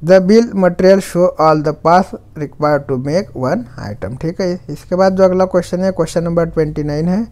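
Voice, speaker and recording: A man's voice: high-pitched, warm tone, clean tone. A young man with an Indian accent, teaching exam prep by reading quiz questions and their options aloud in a voice-over. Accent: Indian English mixed with Hindi. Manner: slow deliberate reading of questions, faster when giving options.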